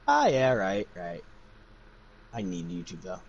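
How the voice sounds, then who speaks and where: A man's voice: low voice, wildly swooping pitch, measured pace, highs cut off. A young adult man chatting casually and jokingly on a livestream, poking fun at himself and others. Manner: joking, self-mocking banter. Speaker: fan fiction reader and narrator. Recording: noisy amateur livestream microphone.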